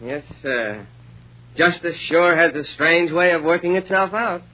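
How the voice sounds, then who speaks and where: Weary blunt cop lieutenant, old 40s radio show, kinda husky, muffled sound. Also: male voice, fairly high pitch, unhurried. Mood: grim, wry. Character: blunt, world-weary male police lieutenant. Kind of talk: 1940s radio drama acting. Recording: dull vintage 1940s radio recording.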